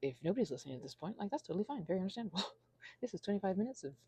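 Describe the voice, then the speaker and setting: A woman in her thirties giving a rambling, confessional ASMR monologue in a halting, earnest, self-deprecating way, with a husky, creaky voice. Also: mid-range voice, big pitch swings, a little mellow, no echo. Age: 30s. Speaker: ASMR channel creator.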